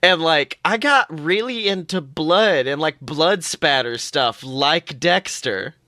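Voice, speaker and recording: A young adult podcast co-host chatting casually about fandom in an animated, exaggerated and joking way. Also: mid-range voice, takes it slowly, dry recording, clean studio sound. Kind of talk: casual fan podcast chat.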